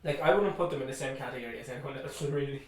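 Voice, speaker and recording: A man's voice: medium pitch, very fast, a little hiss or hum. A young man, a native Turkish speaker with a mostly Irish accent and slightly off V sounds, chatting casually as a podcast co-host. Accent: Turkish-tinged Irish. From Turkey. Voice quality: trouble pronouncing V. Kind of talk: casual chat. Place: indoor room with echo. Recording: roomy, echoey room.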